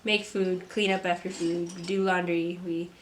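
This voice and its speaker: A young woman, a mother and artist, talking to camera from a script in a soft, reflective vlog; she sounds stuffy and congested. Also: fairly low pitch, flat delivery, moderate pace, slight background noise. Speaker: vlogger, artist. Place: home. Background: kids playing.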